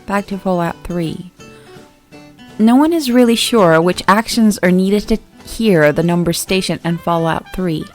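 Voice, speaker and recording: A woman with a slightly low voice narrates an eerie internet story from a script. Her delivery is measured and expository, as a read-aloud. Soft music plays behind her. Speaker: creepypasta narrator. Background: background music.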